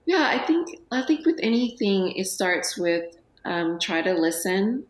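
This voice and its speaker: A woman in her forties, a guest on a TV talk show, speaking softly and hesitantly with many pauses and fillers. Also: mid-range voice, very animated, roomy sound. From United States. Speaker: guest, business owner. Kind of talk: TV interview. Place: TV studio.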